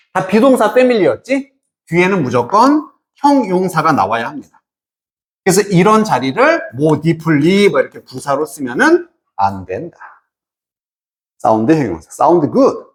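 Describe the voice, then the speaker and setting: A man teaching English in an explanatory lecture, emphatic and informal, often asking questions and answering them himself. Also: fairly high pitch. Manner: asks and answers own questions, checking understanding. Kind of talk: explanatory exam-prep lecture.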